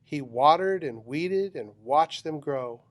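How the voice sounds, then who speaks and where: Guy reading a kids picture book out loud, kinda high voice, slow n dramatic, bouncy rhymes, no room sound. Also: expressive, no echo. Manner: dramatic, rhythmic delivery of rhyming verse. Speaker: storybook reader. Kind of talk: expressive children's picture book read-aloud.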